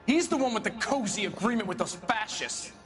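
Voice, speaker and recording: Evasive game suspect, defiant worker type, high lively voice over music. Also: male voice, fairly quick. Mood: defensive. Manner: curt, scornful, defensive. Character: defiant, evasive male activist worker under police questioning. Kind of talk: dramatic interrogation acting. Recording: music under the dialogue.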